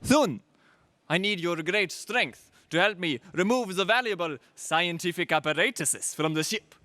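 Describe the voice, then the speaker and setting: Guy playing old scientist in a space radio serial, theatrical, bright high voice, bossy and excitable. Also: measured pace, no room sound, exaggerated voice. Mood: brisk, pleased. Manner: theatrical, exclamatory. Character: excitable elderly scientist ally, loyal and commanding.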